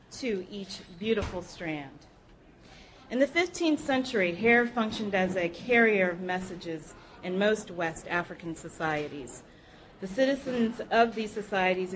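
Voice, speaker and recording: A woman's voice: medium pitch, a little hiss or hum, rough recording. A woman reading book excerpts aloud to an audience at a live library program. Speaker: reader at a public library program.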